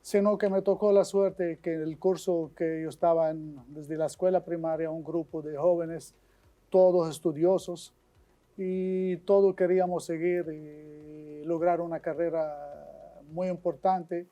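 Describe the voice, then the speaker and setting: A man from Syria with a Syrian accent, speaking Spanish as a guest surgeon in an earnest, measured way with small restarts. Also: on the high side, steady tone, dark tone. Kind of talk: interview answers.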